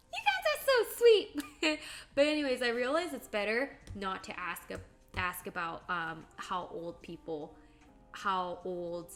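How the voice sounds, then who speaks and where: A woman's voice: fairly high pitch, fairly bright tone. A woman in her late twenties hosting a livestream, chatting casually and bubbly while she draws and reads out viewer comments. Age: late 20s. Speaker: livestream host. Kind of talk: casual chat while drawing on stream.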